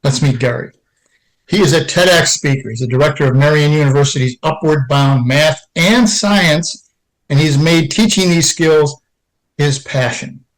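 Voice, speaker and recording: A man's voice: a little high, normal speed, low-quality recording. Upbeat male podcast host. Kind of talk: scripted introduction and interview.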